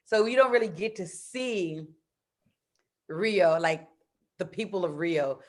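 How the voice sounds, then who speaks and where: A woman from the United States in her thirties talks with a slightly low voice. She speaks in a loose, animated way, full of fillers and quick laughs. Her tone stays easygoing and conversational. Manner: chatty and animated, laughs often, many fillers. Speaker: interview guest, expat in Brazil. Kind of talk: casual interview chat.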